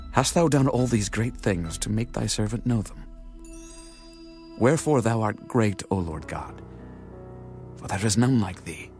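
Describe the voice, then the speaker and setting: An actor playing a humble, reverent king of ancient Israel in a dramatized scripture reading, speaking in earnest, measured, formal phrases. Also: on the low side, darkish tone. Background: music.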